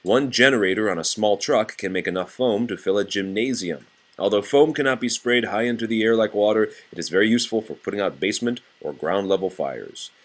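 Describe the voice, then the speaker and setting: A man's voice: on the low side, brisk. A man reading a nonfiction children's book aloud to a child in a plain, explanatory way.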